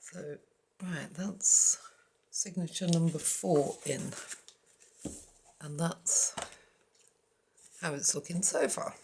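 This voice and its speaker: A woman's voice: deep voice, fairly even intonation, slow, long pauses, mellow, slight background noise. A woman hosting a crafting tutorial, chatting casually and with self-deprecating asides while she works at a table. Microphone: overhead camera on the work, speaker off frame.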